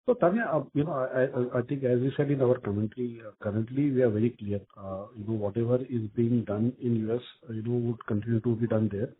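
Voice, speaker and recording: A man with an Indian accent answering as a company executive on an earnings call, speaking haltingly with many repeated filler phrases. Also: mid-range voice, fairly even intonation. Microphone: telephone conference call. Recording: muffled narrow phone line.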